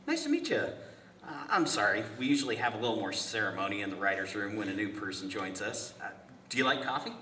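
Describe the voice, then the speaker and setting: A man's voice: lowish voice, very animated, fast talker, pushed and bright. A man reading the part of a helpful, friendly office colleague at a script table read, casual and accommodating. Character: helpful, friendly male writer's room colleague, Ephraim. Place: indoor festival venue. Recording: distant echoey room with background noise.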